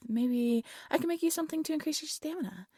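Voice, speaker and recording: A woman playing a devoted, lovesick exiled alchemist in an intimate fantasy audio roleplay, tender and soft-spoken, often dropping into a whisper. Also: high voice, quick pace, no echo, studio quality. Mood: fond, playful. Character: devoted, lovesick exiled alchemist practising dark magic, gentle.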